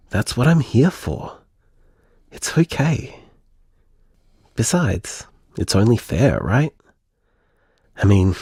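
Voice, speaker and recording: An actor playing a patient, loving male partner with a soft, husky, soothing way of speaking, in a naturalistic audio drama. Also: lowish voice, no room sound, clean studio sound, heavily acted. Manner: tender, soothing.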